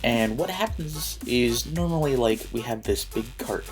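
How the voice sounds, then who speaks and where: A man's voice: medium pitch, expressive, unhurried, soft background music. A young man with a cold and a stuffed-up nose, chatting casually and rambling with lots of likes and ums while he plays a video game. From Philadelphia area, United States. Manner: rambling, frequent likes and ums, mildly annoyed. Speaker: gaming YouTuber. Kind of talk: casual gameplay commentary.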